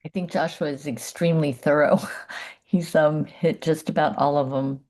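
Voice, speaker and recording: A woman's voice: sits low, fairly even intonation, normal speed, darkish tone. A woman co-lead reading audience questions on a video call, casual and filler-heavy.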